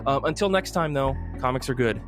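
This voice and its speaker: A man's voice: mid-range voice, a little fast, music in the background. A man hosting a casual podcast, chatting in an enthusiastic way. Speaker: podcast host.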